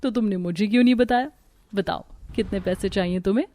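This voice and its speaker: A woman's voice: mid-range voice, quick pace, dark tone, natural voice. A woman voicing a sweet, trusting young newlywed bride in a cartoon, polite and eager to please. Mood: concerned.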